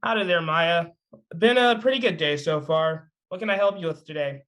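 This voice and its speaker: Bashful, amiable Southern teen desk assistant, read by a man, higher male voice. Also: moderate pace, a bit bright, close to an everyday voice. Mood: friendly. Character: friendly, bashful Southern teenage boy, front desk assistant. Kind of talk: table read of a scripted pilot.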